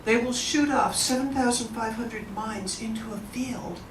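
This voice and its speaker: A woman's voice: fairly high pitch, a little slow. A woman moderates a live event, chatty and eager, often turning to the audience with questions. She is recorded from a distance in a large, echoing room, with a constant background noise under her voice. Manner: chatty, enthusiastic, engages the audience. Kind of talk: informal presentation to an audience.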